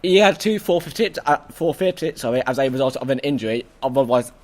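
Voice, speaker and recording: A hoarse man hosting a casual review chat, excitable and full of stammering restarts. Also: mid-range voice, quick pace. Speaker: host.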